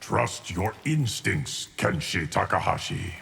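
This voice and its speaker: An actor playing an ancient, stoic guardian in a fighting game, speaking in a grave, measured way. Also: male voice, deep voice, very animated, vocal fry, big-room sound, very noisy, full character voice. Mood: solemn. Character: Geras, ancient, solemn male eternal guardian. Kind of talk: fighting game character banter.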